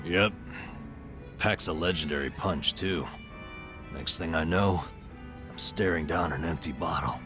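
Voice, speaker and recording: A man's voice: extremely low pitch, unhurried, pauses often, music in the background. An actor playing a stressed, boastful middle-aged vagabond in a video game cutscene, telling his tales dramatically and colloquially. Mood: dreamy, boastful.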